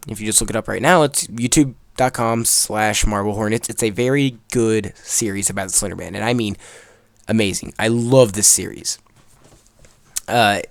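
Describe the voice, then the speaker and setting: A man's voice: on the low side, smooth, no room sound. Casual young man rambling through a story, dry recording. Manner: rambling, profane, emphatic. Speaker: video creator telling a story over gameplay.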